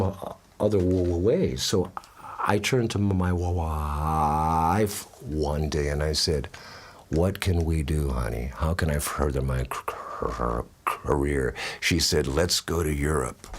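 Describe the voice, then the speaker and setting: Deep, slow man who stutters. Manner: laughs often, candid and upbeat. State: tired from touring. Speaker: interviewee, musician. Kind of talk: relaxed interview talk.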